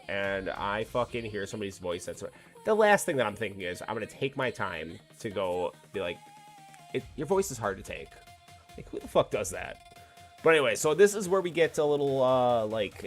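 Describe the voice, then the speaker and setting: A man with a slightly nasal voice chatting casually with viewers while he plays a game, swearing freely. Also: fairly low pitch. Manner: laid-back, swears freely, talks to chat. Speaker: gaming streamer. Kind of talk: casual live-stream chat while playing.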